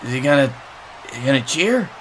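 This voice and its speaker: A man's voice: medium pitch, sing-song, a little fast, a bit bright, slight background noise, rough recording. A man giving comic color commentary on wrestling as a co-host, wry and mock-dramatic in manner.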